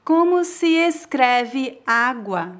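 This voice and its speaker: A Brazilian woman teaching pronunciation on her channel, with a high voice. She speaks very slowly and articulates every word carefully so learners can repeat after her. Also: animated. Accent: Brazilian Portuguese. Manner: clear, deliberate enunciation for learners. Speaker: Portuguese language teacher. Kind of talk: slow pronunciation lesson.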